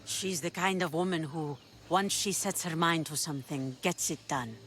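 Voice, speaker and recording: A woman's voice: low voice, moderate pace, fairly bright tone, a little hiss or hum. A woman playing a self-assured, wry pirate captain of ancient Greece in a video game. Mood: wistful, assured. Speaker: game character. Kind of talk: video game cutscene acting.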